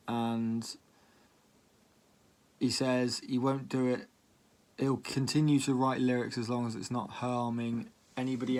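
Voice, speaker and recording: A man reacting to music in a casual, conversational way. His pitch moves very little, and he rambles a bit, circling hesitantly around his points as he comments.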